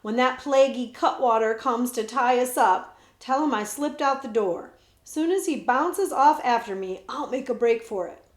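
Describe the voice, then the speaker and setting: A streetwise orphan boy talks in cocky, slangy bursts, as a woman reading a storybook puts him on. The voice is fairly high and rings in an echoey room. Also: expressive, normal speed, natural voice. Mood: cheeky.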